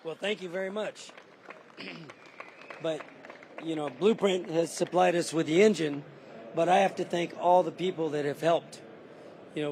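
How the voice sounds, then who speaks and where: A car builder who is losing his voice, calmly giving a detailed walkaround of a custom car on a show floor. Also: a little high, a little hiss or hum. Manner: detailed, matter-of-fact.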